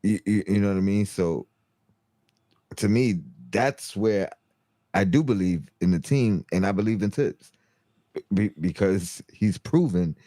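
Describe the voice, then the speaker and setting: A man with a low, mellow voice talking basketball in a loose, halting way, full of restarts and fillers. The recording is dry and close. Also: no room sound. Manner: halting, many restarts and fillers, repeats "you know what I mean". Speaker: co-host, sports analyst. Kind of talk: casual sports talk.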